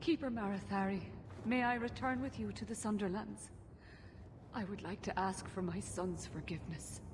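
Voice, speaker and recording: A woman's voice: medium pitch, steady tone, normal speed, lightly acted. A woman playing an anxious elf mother in a video game, speaking in an emotional, pleading manner. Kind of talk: video game cutscene acting. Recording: reverberant, faint ambient noise.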